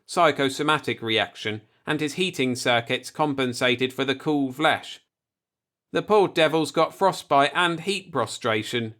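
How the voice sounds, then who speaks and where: A man voicing a grimly deliberate, tipsy spaceship quartermaster who explains things gravely in an audiobook. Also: a little high, fairly bright tone, voice pushed up. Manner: grave, expository.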